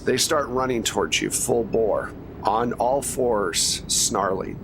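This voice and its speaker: A man acts as game master for a tabletop game. His voice sits at a middle pitch as he narrates conversationally and talks players through the rules. There is clear noise behind him. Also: takes it slowly. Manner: conversational, narrates in second person. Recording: noisy recording with clear background noise.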